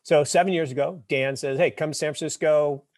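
Animated fifty-something man telling stories. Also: on the high side, fairly quick, no room sound, clean studio sound. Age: 50s. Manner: animated storyteller, emphatic and wry. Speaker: podcast guest. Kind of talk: casual interview talk.

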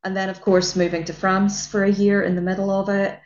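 A woman's voice: medium pitch, little pitch movement, a little fast. Young Northern Irish woman, chatty. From Northern Ireland. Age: young adult. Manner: chatty, fillers and restarts, trails off softer. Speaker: guest, music journalist. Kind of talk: casual interview chat. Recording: lo-fi dull audio.

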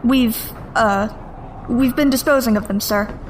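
A nervous alien lieutenant played by a woman with a fairly high voice. She is deferential and hesitant, forever apologetic, in a sci-fi audio drama with faint noise behind her. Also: a little slow, close to an everyday voice.

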